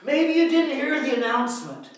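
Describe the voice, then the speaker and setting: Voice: sits high, very animated, normal speed, very echoey, far from the mic. A storyteller voicing a proud, bad-tempered king who gives exaggerated, commanding royal orders to a crowd of subjects. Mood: condescending.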